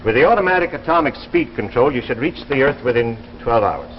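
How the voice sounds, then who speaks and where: An actor playing a confident, reassuring scientist who explains things briskly in 1950s drama style. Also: male voice, medium pitch, expressive, a little hiss or hum, natural voice. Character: confident, reassuring scientist directing a space mission test. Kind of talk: 1950s television drama acting. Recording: muffled old broadcast with background sound.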